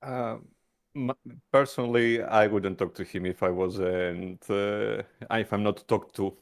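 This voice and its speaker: A man playing a tabletop roleplaying game, casually improvising descriptions in a hesitant, halting way. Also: fairly low pitch, fairly even intonation. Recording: background music under voice.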